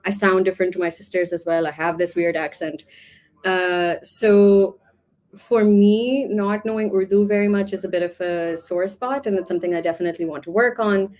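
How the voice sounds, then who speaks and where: A woman's voice: lowish voice, fairly even intonation, moderate pace, darkish tone. A woman from Pakistan, an art professor, answering interview questions in a reflective, talkative way with many casual fillers.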